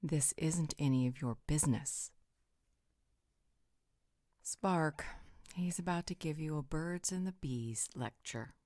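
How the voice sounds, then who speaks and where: An actress playing a bossy, sardonic female vampire in an audio roleplay, with a husky, breathy voice and a commanding, deliberate delivery. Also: deep voice, mellow, no echo, studio quality. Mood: haughty, threatening.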